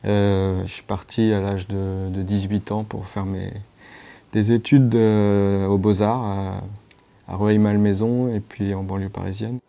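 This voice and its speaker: A man who is a sound artist speaks in a documentary interview, thoughtful and halting. His voice is fairly low, mellow and dark, with little melody. He talks in a low-key, reflective way. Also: level tone, vocal fry.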